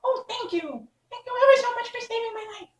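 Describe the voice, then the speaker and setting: A man voicing a tiny, proud female queen of the field mice in a squeaky falsetto. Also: fairly quick. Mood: grateful, proud. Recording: echoey room, voice from a distance.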